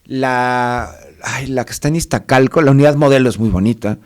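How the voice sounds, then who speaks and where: A man's voice: medium pitch, moderate pace. Rambling Mexican man in casual talk. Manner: rambling, digressive, with frequent self-corrections. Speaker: co-host. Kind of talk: casual panel chat.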